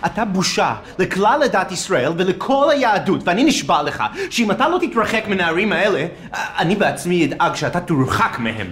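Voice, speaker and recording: An indignant, sharp-tongued rabbi. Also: male voice, high-pitched, sing-song, few pauses. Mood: threatening. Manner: challenging, stammering when uneasy. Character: indignant male rabbi, confrontational.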